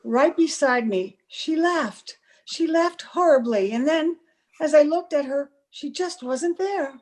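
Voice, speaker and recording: Brief: haunted young female witness, acted by a woman, high pitch, pleading, melodramatic radio-horror delivery. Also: animated, takes it slowly. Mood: shaken, earnest. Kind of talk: old-time radio horror acting. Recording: home video-call recording.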